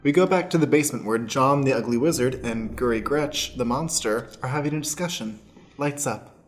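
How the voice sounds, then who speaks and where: A man's voice: medium pitch, fairly quick. A man with a mid-range voice narrates stage directions for an improvised radio play. He announces as stage manager, with faint music behind.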